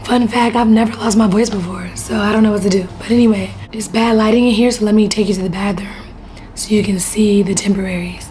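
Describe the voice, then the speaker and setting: Woman, hoarse near-whisper, chatty vlog, echoey bathroom, faint hiss. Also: medium pitch, steady tone, fast, warm tone. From Houston, Texas. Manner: chatty, addresses viewers as y'all. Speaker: beauty vlogger. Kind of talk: casual vlog chat to camera. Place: hotel room, then bathroom.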